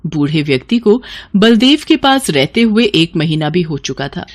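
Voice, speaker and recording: A woman's voice: fairly low pitch, very animated, moderate pace. A woman narrating a scripted moral tale for children in a storytelling style.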